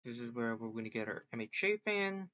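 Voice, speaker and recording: A man hosting a casual, unscripted vlog, talking haltingly and speaking straight to a chatbot. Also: mid-range voice, sing-song, bright, forceful tone, no room sound, highs cut off. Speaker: host of an online character-chatbot video.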